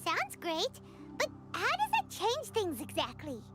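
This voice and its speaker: A woman voicing a small, childlike fairy companion in a video game, chirpy, curious and excitable. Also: extremely high pitch, unhurried, full character voice. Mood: curious, impressed. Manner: speaks of herself in the third person. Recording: loud music behind the voice.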